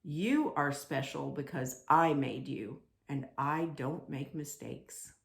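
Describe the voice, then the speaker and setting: Woman voicing slow, gentle fatherly old woodcarver, lowish. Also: expressive, vocal fry, echoey room, full character voice. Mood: encouraging. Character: kindly large bearded old woodcarver, fatherly maker. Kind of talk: children's storybook read-aloud.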